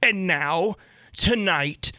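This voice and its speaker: Gothic audiobook role: hysterical, tormented aristocrat madman, sharp-edged, dry close sound, gibbering with dread. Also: lively intonation, very slow, no echo, heavily acted. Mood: haunted, gleeful dread. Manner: gibbering low murmurs breaking into shrieks. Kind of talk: dramatic gothic audiobook character.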